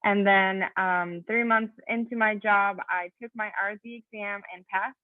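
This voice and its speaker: A woman's voice: mid-range voice, fairly even intonation, unhurried, dry recording, phone-quality sound. A woman in her thirties from Venezuela, a native Spanish speaker, presenting her career path to students with a conversational manner and lots of fillers. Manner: conversational, many fillers and self-corrections. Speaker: physician assistant giving a talk. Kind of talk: online presentation with slides. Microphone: video call.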